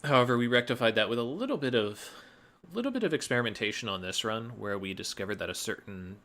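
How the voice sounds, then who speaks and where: A man's voice: mid-range voice, animated, fast, fairly bright tone, faint music behind. A man giving casual, analytical gameplay commentary as a speedrunner, explaining his choices.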